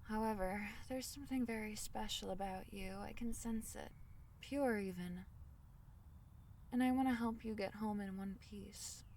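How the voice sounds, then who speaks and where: A woman voicing a tiny, caring fairy in a soothing ASMR fantasy roleplay, speaking softly in slightly formal storybook phrases. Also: mid-range voice, unhurried, far from the mic, a little hiss or hum, lightly acted. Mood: tender, reassuring. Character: tiny gentle female fairy, caring and protective.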